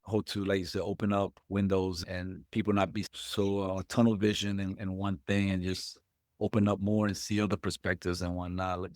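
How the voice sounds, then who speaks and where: Podcast host, middle-aged man from Puerto Rico, low and halting, dry close sound. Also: no echo. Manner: halting, repeats words as he thinks. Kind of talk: conversational interview.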